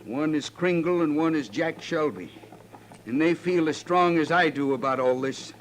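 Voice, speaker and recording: Guy acting a mad rural american rancher, highish voice, pushy and blunt, old radio western with faint noise. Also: normal speed, natural voice, poor audio. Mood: angry, impatient. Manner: confrontational, blunt. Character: angry, impatient frontier rancher demanding action. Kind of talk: 1950s radio drama acting.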